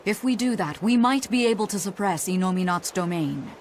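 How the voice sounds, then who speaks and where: A woman playing a calm, knowing scholar in a fantasy video game, explaining things in a measured way. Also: medium pitch, a little hiss or hum, natural voice. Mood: calm, explanatory.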